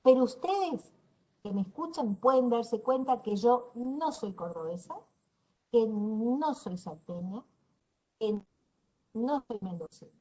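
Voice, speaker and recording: A woman's voice: a little high, a little mellow, rough recording. Woman lecturing with a northeastern Argentine accent. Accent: northeastern Argentine Spanish. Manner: explanatory, checks in with "¿sí?" often. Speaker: university lecturer. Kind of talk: online class lecture. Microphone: video call.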